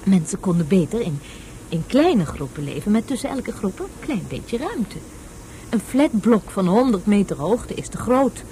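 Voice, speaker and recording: A woman's voice: medium pitch, sing-song, moderate pace, dark tone, a little hiss or hum, close to an everyday voice. An actress playing a patient woman tutor in a radio play, explaining things didactically as if to a child. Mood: emphatic. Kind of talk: Dutch radio play acting.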